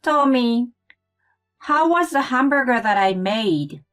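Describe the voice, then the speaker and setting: Story role: eager, sweetly insistent mother, female performer, high and sunny, unhurried. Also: expressive, fairly bright tone, soft background music. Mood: cheerful, eager. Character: cheerful, eager mother of a grown son. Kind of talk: acted story dialogue.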